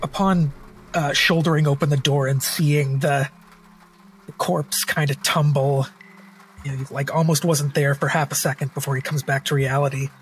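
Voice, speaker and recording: Higher-voiced halting man over music. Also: little pitch movement, rough recording. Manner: halting, searching for words. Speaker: tabletop game player. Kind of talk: improvised roleplay. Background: background music.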